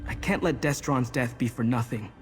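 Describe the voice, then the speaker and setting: A man's voice: mid-range voice, moderate pace, lightly acted. An actor playing an earnest, resolute young man in a video game, explaining things intently. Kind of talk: video game character acting. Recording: game music behind the voice.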